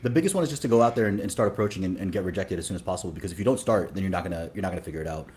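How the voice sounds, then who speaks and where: A man's voice: sits low, rapid-fire, a little mellow. A man from Sudan, a dating coach, talking casually in an interview with many fillers and restarts.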